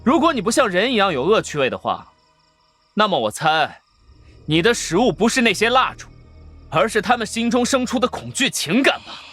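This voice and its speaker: A man's voice: high-pitched, sing-song, moderate pace, a bit bright, soft background music, exaggerated voice. An actor playing a bold young male cultivator in an audio drama, commanding, taunting and defiant. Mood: mocking, confident.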